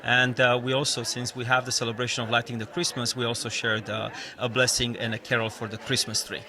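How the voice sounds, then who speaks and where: A middle-aged man with a Romanian accent speaks earnestly, pausing often on "uh" as he searches for words. His voice sits at an ordinary pitch for a man. Chatter from a crowd at an outdoor event fills the background. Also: level tone. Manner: earnest, hesitant with many "uh" fillers. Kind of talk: event interview. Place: outdoor community holiday event.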